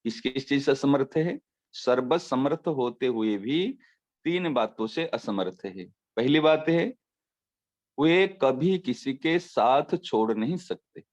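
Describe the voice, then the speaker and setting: A man giving a devotional discourse as a spiritual teacher, explaining with everyday examples and slowly repeating his key phrases. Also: mid-range voice, animated, a little mellow. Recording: dull lo-fi recording.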